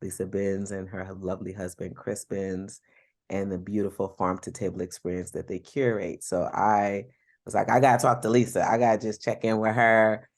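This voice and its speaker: A woman's voice: extremely low pitch, level tone, normal speed. A woman hosting a podcast, introducing her guest in an enthusiastic, casual way. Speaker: podcast host. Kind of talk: casual interview chat.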